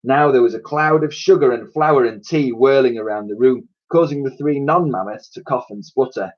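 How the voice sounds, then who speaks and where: Male storybook reader for children, expressive narration, slightly muffled lo-fi sound. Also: medium pitch, normal speed. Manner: expressive, acts out characters' dialogue. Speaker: teacher reading aloud to pupils.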